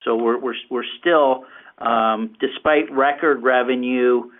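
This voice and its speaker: A man speaking as a finance executive answering questions on an earnings call, explaining haltingly and repeating words. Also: mid-range voice, steady tone. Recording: phone-like, narrow conference call line.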